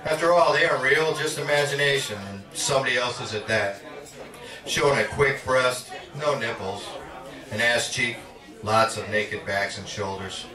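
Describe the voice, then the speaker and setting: A man reading poetry aloud at a live reading in a measured, dramatic spoken-word delivery. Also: medium pitch, steady tone, a crowd in the background. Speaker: poet. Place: indoor literary cafe reading. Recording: echoey, distant live recording with audience. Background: audience sounds.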